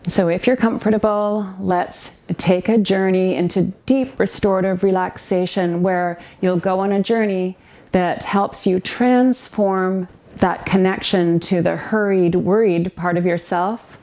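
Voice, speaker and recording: A woman with a mid-pitched voice narrates a guided relaxation practice. She gives gentle, soothing instructions in the manner of a meditation teacher, leaving unhurried space between her phrases. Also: steady tone. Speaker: yoga nidra relaxation guide, therapist.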